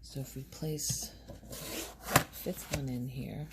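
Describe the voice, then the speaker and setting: A soft-spoken woman recording casual ASMR, rambling in a hushed voice and musing aloud as she goes. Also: a bass voice, steady tone, slow pace, heavy background noise. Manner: hesitant, musing aloud, trailing off. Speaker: ASMR video creator.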